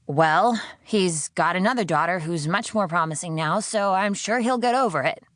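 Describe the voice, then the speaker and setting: A woman's voice: on the low side, normal speed, a bit bright, no echo, voice pushed up, lightly acted. A defiant young female prisoner, nineteen and weary, voiced by a woman narrator. She is guarded and dryly sarcastic, in an audiobook recording with a close, very dry sound. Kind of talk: audiobook character acting.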